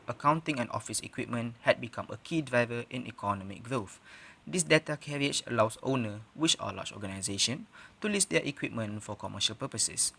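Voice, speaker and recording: A man's voice: medium pitch, fairly bright tone. Young man delivering a formal debate speech. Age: young adult. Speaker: student debate speaker.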